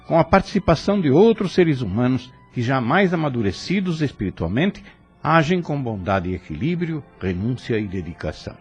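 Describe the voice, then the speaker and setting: A man's voice: medium pitch, darkish tone. A man reading scripted moral commentary in a solemn, preacherly manner. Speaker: spiritist commentator. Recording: dull, lo-fi sound.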